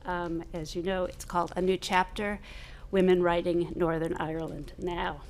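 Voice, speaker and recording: A woman's voice: fairly low pitch, level tone, normal speed, a little mellow. Woman giving a hesitant welcome speech, faint noise. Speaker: event host, humanities center director. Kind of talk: formal event welcome speech. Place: event room at a university.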